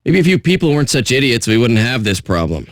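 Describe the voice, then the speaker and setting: Jaded, rude pirate radio DJ. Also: male voice, mid-range voice, big pitch swings, fast talker, dry recording, clean studio sound. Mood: scornful. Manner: sardonic, blunt, insulting, profane. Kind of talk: irreverent radio DJ patter.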